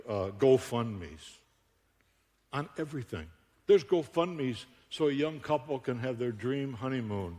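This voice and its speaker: Older guy from buffalo cracking jokes through a sermon, middle-pitched voice, long echo off the church hall. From Buffalo, New York. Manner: joking, animated storyteller with frequent laughs. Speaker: guest speaker. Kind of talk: humorous sermon. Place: church auditorium.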